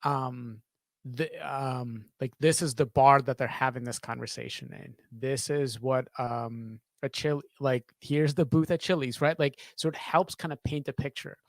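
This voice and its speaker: A man in his thirties, a sound designer, explaining things step by step in a halting, thoughtful way during an interview. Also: mid-range voice, steady tone, no room sound. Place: small home studio with acoustic panels. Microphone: close studio microphone on boom arm, headphones.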